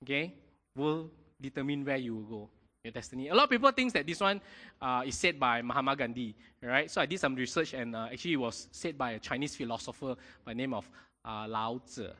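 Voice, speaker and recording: A man preaching a sermon in a lively way, often checking in with his congregation. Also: medium pitch, a little fast. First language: not Mandarin. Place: church hall. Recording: long hall reverb.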